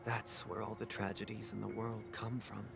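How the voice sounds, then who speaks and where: A courteous, idealistic gentleman from a video game. The voice sits fairly low, composed and formal as it philosophizes, with clear music underneath. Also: flat delivery, normal speed. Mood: grave, persuasive.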